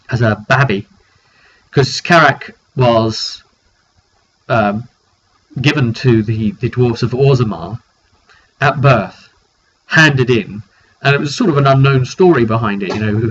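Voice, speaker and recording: A British man recaps a tabletop roleplay in a casual storytelling style. His mid-pitched voice moves along in a rambling, halting way, pausing partway through sentences. The sound is dull and lo-fi, with slight noise behind him. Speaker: tabletop roleplay game narrator recapping a session. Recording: dull lo-fi recording with background noise.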